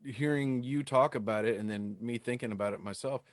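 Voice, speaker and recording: A man's voice: medium pitch, fairly quick, no echo, studio quality. A middle-aged man hosting a podcast, talking casually as he interviews a guest. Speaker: podcast host.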